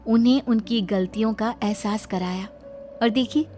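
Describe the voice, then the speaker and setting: A woman's voice: fairly high pitch, moderate pace, music in the background, lightly acted. A woman voicing a polite, innocent-sounding young daughter-in-law in a cartoon story, speaking sweetly and earnestly. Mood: gentle, earnest. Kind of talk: Hindi cartoon moral-story acting.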